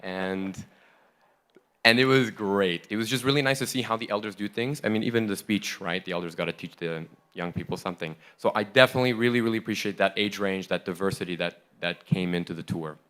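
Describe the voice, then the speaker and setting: Deep-voiced man, chatty and enthusiastic. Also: fairly quick, a bit bright. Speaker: tour member giving a report on stage. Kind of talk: casual on-stage talk to a live audience. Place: large hall at a festival, on stage.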